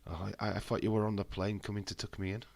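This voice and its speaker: A man's voice: lowish voice, level tone, fast, vocal fry, a little mellow. A man with a head cold, chatting casually and bluntly on a live stream, swearing freely and answering viewers' messages. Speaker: wrestling watch-along streamer.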